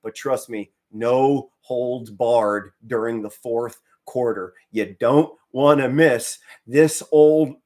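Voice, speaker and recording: A hoarse middle-aged man from Pennsylvania doing casual live play-by-play as a fan, mostly low and subdued with bursts of excitement. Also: slow, few pauses. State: hoarse, straining to save his voice. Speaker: fan commentator on a live stream.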